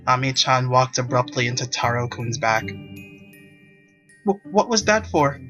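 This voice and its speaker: A man narrates a scripted visual novel tale. His voice sits at an average pitch for a man, and music is clearly heard behind it.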